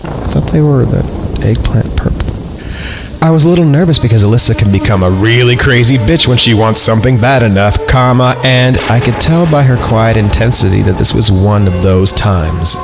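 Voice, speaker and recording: Low-voiced man over prominent music. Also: brisk, few pauses. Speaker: podcast host. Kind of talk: dramatic story reading with joking asides. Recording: music playing behind the voice. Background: background music.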